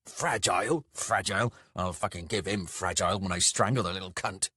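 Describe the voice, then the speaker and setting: Man performing a gruff, hot-tempered huge axeman. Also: sits low, brisk, bright, forceful tone, dry recording, clean studio sound, full character voice. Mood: furious. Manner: growling, snapping, curt, swearing. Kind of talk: fantasy audiobook character voice.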